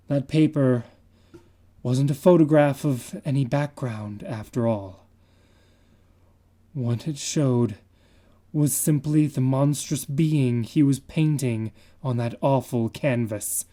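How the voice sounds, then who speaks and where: Slow, dark-toned male dramatic reader. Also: medium pitch. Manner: measured, confiding, dramatic. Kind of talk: dramatic reading of a horror story.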